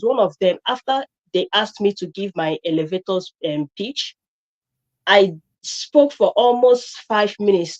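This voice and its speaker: A woman's voice: mid-range voice, a little mellow, muffled recording. A woman from Nigeria with a Nigerian accent, a guest on a live stream, talking emphatically with many fillers and restarts.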